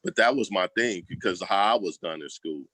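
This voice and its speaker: Male interview guest, low-pitched with a forceful edge, casual and slangy, very dry close sound. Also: fairly quick, no echo, low-quality recording. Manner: colloquial, slangy, acts out quoted remarks. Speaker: school program leader, guest. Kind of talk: casual conversational storytelling.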